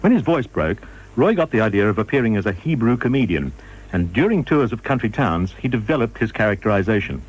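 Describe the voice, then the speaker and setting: A man reading scripted radio documentary narration in a measured, formal manner. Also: on the low side, husky, rough recording.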